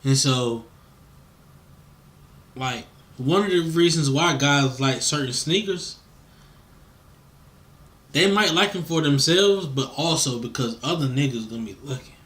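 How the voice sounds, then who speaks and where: A man's voice: fairly high pitch, a little slow, pauses often, a bit bright. An American man interviewing in a casual, laid-back conversational way, slangy and checking in with the listener.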